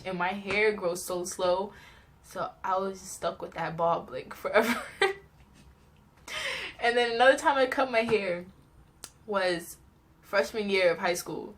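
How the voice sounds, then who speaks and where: A young woman tells personal stories in a casual, chatty vlog style, animated and giggly as she acts out little reactions. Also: mid-range voice, unhurried. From New York, United States. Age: young adult. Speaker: vlogger telling personal stories.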